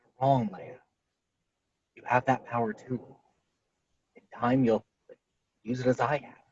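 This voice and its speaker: An earnest young hero, played by a man, calm and resolute, with a husky mid-range voice. It is a script table read heard through slightly muffled video-call audio with little room sound. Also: mid-range voice, measured pace.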